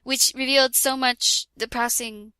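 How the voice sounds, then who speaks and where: A woman's voice: high-pitched, steady tone, measured pace, sharp tone, clean tone, dry recording. A young woman doing a comedic dramatic reading of parody fan fiction, stumbling over words and laughing as she goes.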